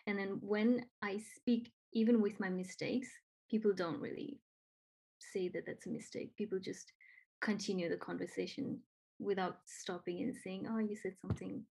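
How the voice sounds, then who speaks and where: A woman's voice: mid-range voice, steady tone, normal speed, vocal fry, warm tone, slightly rough, poor audio. A woman from Nepal who speaks English with a Nepali accent, talking earnestly and encouragingly with frequent ums and repeated phrases. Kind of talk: casual conversation.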